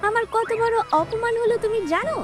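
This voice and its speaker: A woman's voice: extremely high pitch, little pitch movement, a little fast, voice pushed up. A woman voicing a proud, spiteful female crow in a children's cartoon, indignant and complaining. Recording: music under the voice.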